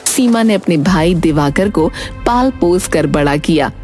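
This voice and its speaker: A woman's voice: lowish voice, animated, unhurried, darkish tone. A woman narrating a scripted fairy tale as a storyteller. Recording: music behind the voice. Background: background music.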